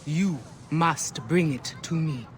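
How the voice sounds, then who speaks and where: A man's voice: on the high side, slow. A man playing an ominous, mystical ancient seer in a video game, speaking in portentous, incantatory phrases. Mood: secretive. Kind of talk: dramatic video game acting. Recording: heavy background noise, outdoor ambience.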